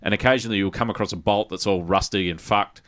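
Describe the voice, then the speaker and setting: An Australian man hosting a gaming podcast, chatting casually and haltingly with plenty of swearing and colloquial turns. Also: lowish voice, fairly even intonation, fairly bright tone. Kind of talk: casual chat.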